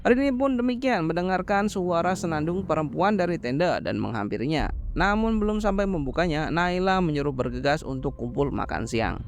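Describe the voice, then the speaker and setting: A man's voice: a little high, expressive, moderate pace, background noise. A man narrating a horror film plot as a scripted story recap, explaining as he goes in informal wording.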